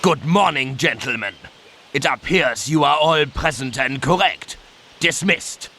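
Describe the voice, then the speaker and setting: An actor playing an officious prison camp commandant in a video game, clipped and formal. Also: male voice, a little high, a little slow, gravelly, bright, forceful tone, slight background noise, full character voice. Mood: brisk. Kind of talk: video game cutscene acting.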